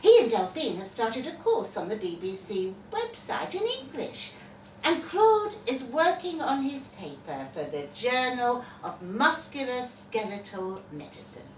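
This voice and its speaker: A woman's voice: on the high side, very animated, measured pace. A woman reading a short story aloud expressively at a live event, as narrator. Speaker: story reader. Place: festival venue at an observatory. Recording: roomy, distant mic, background noise.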